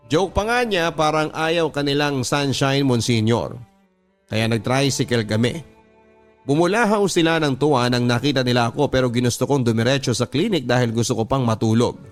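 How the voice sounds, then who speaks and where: A man with a Filipino accent reading a first-person story as a radio drama narrator, in a sentimental manner. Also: mid-range voice.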